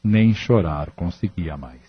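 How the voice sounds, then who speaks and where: A man narrates an audio drama from a script. His voice is low and somewhat breathy, and the recording sounds a little dull. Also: slow pace, no echo.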